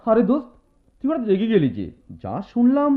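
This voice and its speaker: A man's voice: very high voice, huge pitch swings, a little fast, heavily acted. A man playing a bossy, teasing young friend in a radio drama. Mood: concerned, apologetic. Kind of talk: Bengali radio drama acting. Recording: thin phone-like audio over music.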